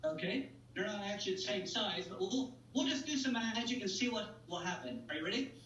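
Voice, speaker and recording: A man's voice: high-pitched, very animated, fairly quick, a bit bright. A man chatting as a magician guest, presenting with playful, folksy patter in an interview style. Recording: roomy, distant mic, faint noise and hum.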